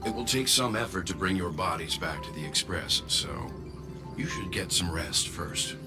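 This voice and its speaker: An actor playing a steady, caring middle-aged mentor in a video game, speaking in a measured, considerate way. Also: male voice, deep voice. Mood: kind, relieved. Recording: loud music under the voice.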